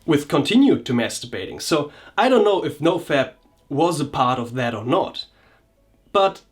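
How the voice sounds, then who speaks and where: A man reflects on his own experience for a vlog. He speaks at a middle pitch and picks his words slowly, often stopping to restart a sentence. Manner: hesitant, searching for words, frequent restarts. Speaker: vlogger and coach.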